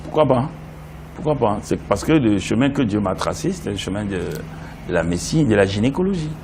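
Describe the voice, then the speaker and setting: A man's voice: lowish voice, a little fast. A middle-aged man from Benin, a Yoruba speaker, chatting animatedly as an interview guest. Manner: animated storyteller, breaks into song. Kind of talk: casual interview chat. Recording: noisy background.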